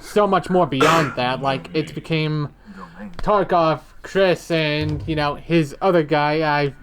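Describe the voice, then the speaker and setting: A man who created the show, a guest in a casual commentary chat, relaxed and agreeable. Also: a little high, slow. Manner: relaxed, agreeable, frequent short affirmations.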